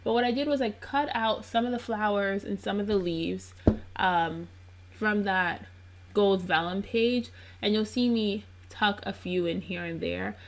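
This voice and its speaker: Congested woman with a gravelly voice. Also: mid-range voice, measured pace, a little hiss or hum. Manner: chatty and relaxed, with frequent ums. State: congested, under the weather. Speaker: scrapbook crafter narrating her process video. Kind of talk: casual voice-over narration.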